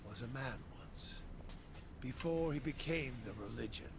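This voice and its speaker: An actor playing a zealous, bitter wandering fire priest in a video game, preaching in a cryptic, ominous, gravelly manner. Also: male voice, medium pitch, moderate pace, noisy background, close to an everyday voice. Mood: brooding, preachy. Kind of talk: video game voice acting, grandiose monologue.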